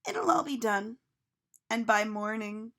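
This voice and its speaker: Woman reading as a shy, quiet young man. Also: on the high side, lively intonation, slow. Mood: quietly resolved. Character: shy, modest, hardworking young male berry picker.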